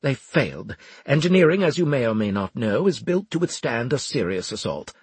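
Man acting a haughty, irritable officer, pitched high. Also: big pitch swings, normal speed, slightly rough, no echo. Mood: exasperated, sarcastic. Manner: clipped, sarcastic, demanding. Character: haughty, irritable male military officer, sneering and urgent.

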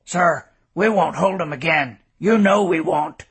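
A man's voice: fairly high pitch, a little slow, a bit bright, slightly rough, full character voice. A man voicing a hard-nosed, wounded old sergeant who growls his lines. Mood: blunt.

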